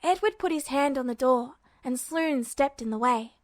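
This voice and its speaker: A woman reading a fan fiction audiobook with steady, even storytelling. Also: high voice, normal speed, no room sound. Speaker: podfic reader.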